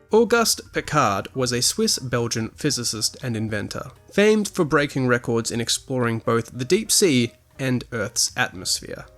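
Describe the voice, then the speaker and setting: A man's voice: medium pitch, a bit bright. A man hosting a podcast, reading a scripted review in an articulate, essay-like way with a dry touch. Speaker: podcast host.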